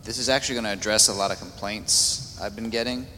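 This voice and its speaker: A man speaking as a city councilman at a public meeting, halting with fillers and restarts. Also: lowish voice, pushed and bright. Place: council chamber. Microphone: meeting microphone. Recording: echoey chamber, faint noise.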